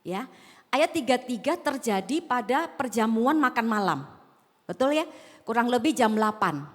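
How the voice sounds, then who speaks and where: A woman pastor with a slight Javanese accent preaches to a congregation in a large auditorium. Her voice sits fairly high, and she keeps putting questions to her listeners. A long reverb from the hall trails behind her words. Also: lively intonation, moderate pace. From Sumatra, Indonesia. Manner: conversational, asks the congregation questions. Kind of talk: sermon. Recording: echoing hall.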